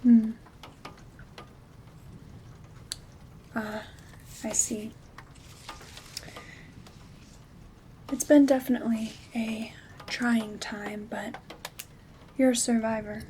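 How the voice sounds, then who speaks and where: A gentle witch herbalist, played by a woman, murmurs and whispers as if to a dear visitor in an ASMR roleplay. The voice is slightly high yet soft and dark-edged, and very unhurried. Faint rain and handling noise sit behind it. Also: steady tone, lightly acted. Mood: gentle, reassuring. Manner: soothing, unhurried, intimate. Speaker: ASMR performer. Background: rain sounds, craft rustling.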